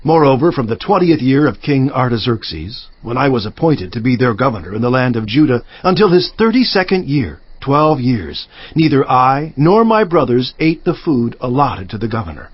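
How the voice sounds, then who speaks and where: An actor playing a resolute biblical governor, narrating scripture in a solemn, emphatic, declamatory manner. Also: male voice, mid-range voice, big pitch swings, moderate pace, few pauses, husky, dry recording, lo-fi sound. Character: resolute, indignant biblical governor recounting his own deeds. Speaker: narrator of dramatized audio Bible.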